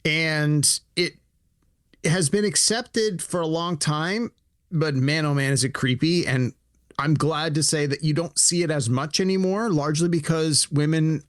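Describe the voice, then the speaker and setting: A man co-hosting a podcast in casual chat, animated, acting out imagined dialogue as he talks. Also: on the high side, measured pace, no echo, clean studio sound. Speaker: podcast co-host.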